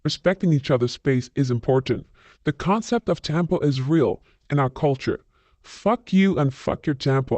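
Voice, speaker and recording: A man with a slightly high voice reads viewer comments aloud and cuts in with his own blunt, scornful verdicts. His delivery is opinionated and dismissive. The recording is dry and close, with no room sound. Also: a little mellow, no echo. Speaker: channel host reading viewer comments.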